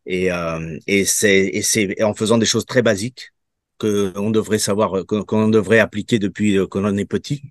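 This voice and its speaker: A man in his fifties with a lowish voice answers interview questions in a halting way, pausing on fillers and starting his sentences over. His voice is recorded close and dry, with no room sound. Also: fairly even intonation, dry recording. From Portugal. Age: 50s. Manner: halting, many fillers and restarts. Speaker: program participant giving a testimonial.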